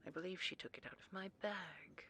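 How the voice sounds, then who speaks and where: A woman acting in a sci-fi audio drama as a cold, condescending female commandant, imperious, sarcastic and measured. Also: lowish voice, steady tone, pushed and bright, husky, exaggerated voice. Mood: quietly menacing.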